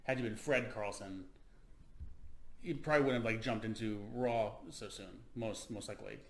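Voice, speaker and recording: Male host, low-ish voice, quick rambling chat, echoey room. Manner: fast, rambling, lots of fillers and restarts. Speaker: podcast host, comedian. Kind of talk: casual interview chat. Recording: roomy echo.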